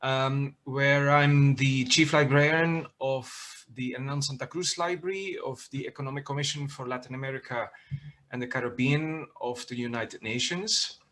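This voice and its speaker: A man from Belgium, a chief librarian, introducing himself on an online panel over a video call, speaking in measured phrases with filler pauses. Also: mid-range voice, steady tone, fairly bright tone.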